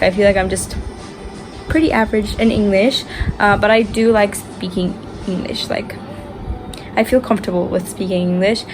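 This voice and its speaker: A woman's voice: mid-range voice, slight background noise. A young woman in her twenties from Argentina, with an Argentine Spanish accent and Spanish as her first language, chatting casually and tiredly in a rambling vlog. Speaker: vlogger. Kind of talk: casual chat. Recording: music playing in the background.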